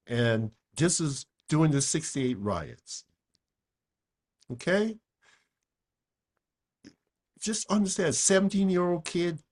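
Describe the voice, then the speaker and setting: An older man whose first language is Japanese gives a slow, unhurried dharma talk. His voice sits a little high for a man, and he leans on his points, often repeating himself and tagging "okay?" onto his lines. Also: slightly rough. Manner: emphatic, repeats words, frequent "okay?". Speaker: Buddhist teacher.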